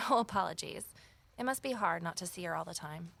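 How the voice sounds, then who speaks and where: A woman's voice: on the low side, animated, brisk, gravelly, fairly bright tone, full character voice. An actress playing an earnest, self-doubting young woman sleuth in an audio drama, speaking in a wry, conversational way. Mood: thoughtful, friendly. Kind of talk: naturalistic audio drama acting and first-person narration.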